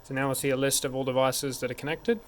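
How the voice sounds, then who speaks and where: A man's voice: mid-range voice, fairly even intonation, quick pace. A man narrating a hands-on technical tutorial in an informal, step-by-step way. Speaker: tech support instructor. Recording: clear background noise.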